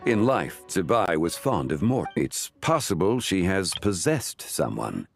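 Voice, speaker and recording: A man playing a calm, dignified ancient sage in a video game, speaking in a formal, measured, grave manner. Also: low voice, creaky, faint music behind, heavily acted. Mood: calm, explaining. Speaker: game character in story cutscene. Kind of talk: formal scripted game dialogue.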